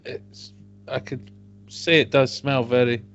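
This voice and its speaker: A man co-hosting a casual whisky podcast, dry and a bit hesitant, often trailing off quietly. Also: medium pitch, fairly even intonation, slow. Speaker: podcast co-host. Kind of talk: casual tasting chat. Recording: dull lo-fi home podcast audio.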